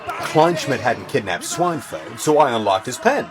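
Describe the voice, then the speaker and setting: An actor playing an overconfident, excitable agent with a husky voice in a comedic audio drama. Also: male voice, mid-range voice, a little slow. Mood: excited, pleased. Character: overconfident, excitable male agent with wild plans. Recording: faint music behind.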